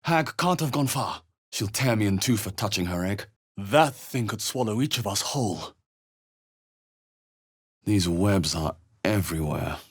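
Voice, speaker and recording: A male video game adventurer with a fairly low, husky voice. He is observant and wry, musing in a measured, understated way, yet his pitch moves in lively turns. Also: exaggerated voice. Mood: musing, wary. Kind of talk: video game voice acting, short reactive lines.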